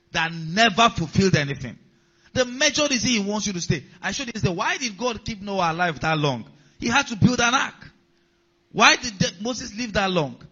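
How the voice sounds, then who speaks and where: Husky high-voiced male preacher. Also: bright, forceful tone. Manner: preaching with repetition and call-outs to the congregation. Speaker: pastor. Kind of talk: sermon. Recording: dull lo-fi sound.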